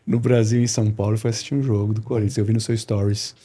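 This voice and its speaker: A man's voice: lowish voice, flat delivery, fast talker, warm tone, breathy edge. A man hosting a podcast interview in a casual, friendly way, agreeing with his guest and asking questions. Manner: friendly, with agreeing remarks and laughter. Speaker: podcast host.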